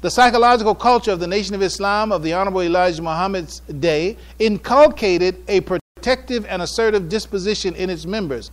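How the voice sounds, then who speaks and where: An American man delivering a prepared public address on religion and history from notes, with formal, deliberate, emphatic phrasing. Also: high voice. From United States. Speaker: speaker giving a public address.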